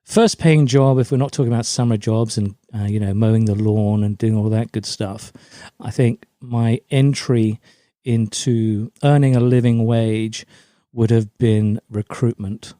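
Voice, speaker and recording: A man's voice: fairly low pitch, steady tone, a little slow, dark tone, no echo, clean studio sound. A middle-aged man from Germany, raised in a British forces family, giving chatty, anecdotal answers as an interview guest. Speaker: podcast guest, LinkedIn brand coach.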